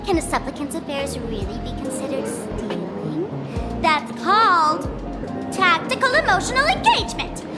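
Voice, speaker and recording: A young female prankster from an anime game, playful and theatrical. Her high voice swoops freely as she teases, drawing her words out slowly over music. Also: sing-song. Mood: playful defiant. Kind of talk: animated anime-style game voice acting.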